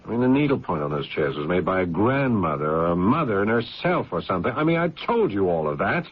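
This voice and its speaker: A worn-out, exasperated older brother in a radio drama. His voice swings widely in pitch, deliberate and world-weary, on a muffled recording. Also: big pitch swings, slightly rough, full character voice. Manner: world-weary, deliberate in narration, scolding when pushed. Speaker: actor and narrator. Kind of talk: old-time radio drama acting with first-person narration.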